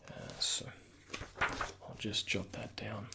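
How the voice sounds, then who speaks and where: A soft-spoken man with a breathy, often half-whispered voice, playing a doctor in an ASMR roleplay and giving gentle instructions and reassurance. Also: slow pace, heavy background noise.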